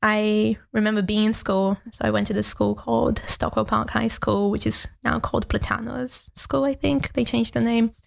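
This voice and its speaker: Young woman from brazil, portuguese first language, bit of vocal fry, chatty and reflective, laughs a lot. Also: fairly high pitch, steady tone, moderate pace, few pauses. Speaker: podcast guest, student. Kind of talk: casual interview chat.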